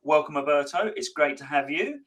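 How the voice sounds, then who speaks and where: A man's voice: a little high, expressive, fairly quick, fairly bright tone. A man hosting a live interview podcast, chatty and prone to stumbling restarts. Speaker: podcast host.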